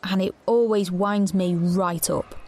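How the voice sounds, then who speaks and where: An actress playing a defiant, protective teenage sister, blunt and sardonic, in an audio drama. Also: female voice, medium pitch, steady tone, measured pace, dark tone. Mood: wry, irritated. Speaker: lead actor and narrator. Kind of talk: audio drama narration and dialogue. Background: background music.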